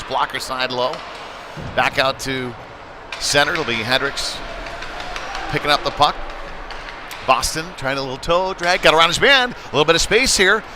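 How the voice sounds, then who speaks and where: Man calling live hockey over venue noise. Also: a little high. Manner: conversational, rising to excitement with the play. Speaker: youth hockey play-by-play commentator. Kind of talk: live sports commentary with casual asides.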